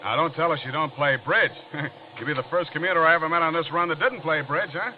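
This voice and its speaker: An actor playing a jovial card player on a train, hearty and teasing, in old radio drama style. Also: male voice, a little high, fast, close to an everyday voice. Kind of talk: 1940s-50s radio acting. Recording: dull old radio broadcast, faint noise.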